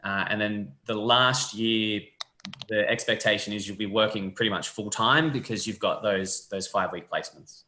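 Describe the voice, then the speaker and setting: A young man, a physiotherapy lecturer explaining the course in a relaxed, friendly way over a video call. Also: lowish voice, moderate pace. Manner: relaxed, friendly, loose fillers. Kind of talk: casual explanatory chat. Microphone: webcam on a video call. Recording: echoey room.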